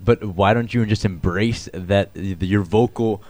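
A young man in casual chat, relaxed, often repeating his words while he thinks. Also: on the low side, a little slow.

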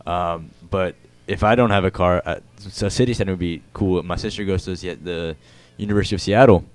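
A young man in casual chat, relaxed, often repeating his words while he thinks. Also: deep voice, a little fast, clean tone, no room sound.

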